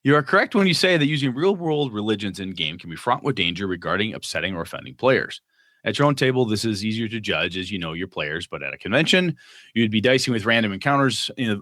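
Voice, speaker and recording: A man with a low, bright and forceful voice co-hosts a casual gaming podcast. He reads listener mail aloud and breaks off for jokey asides. The close mic sounds dry. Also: animated, fast, no echo.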